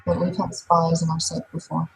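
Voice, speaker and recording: A woman playing a wary but polite werewolf sept member, probing in a measured, hesitant way during a roleplaying game. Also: deep voice, completely flat delivery, gravelly, slight background noise.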